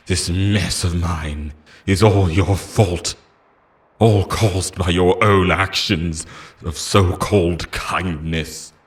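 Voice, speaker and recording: A man playing an obsessive immortal lover in an audio roleplay, theatrical and intense, shifting between pleading tenderness and menace. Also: deep voice. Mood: anguished, bitter. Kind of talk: dramatic ASMR-style audio roleplay monologue.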